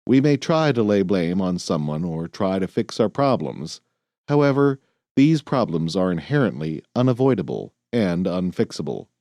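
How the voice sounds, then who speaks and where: A man narrates a self-help style audiobook in a soft-edged, mellow voice. His pitch sits a little low but moves freely for emphasis. The phrasing is measured, earnest and clearly scripted. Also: very animated, no room sound, studio quality.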